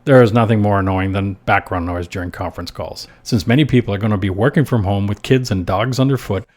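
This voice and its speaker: A man with a fairly low voice narrates scripted advice as a podcast host. His delivery is measured and instructional, laying out each point in order. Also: a little mellow, slight background noise.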